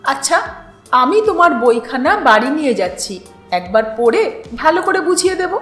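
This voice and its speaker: A woman voicing a mild, kindly, evasive male schoolmaster with gentle, hesitant questions, in a storybook reading. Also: sits high, big pitch swings, moderate pace. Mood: mild, unsure. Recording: roomy echo with music behind.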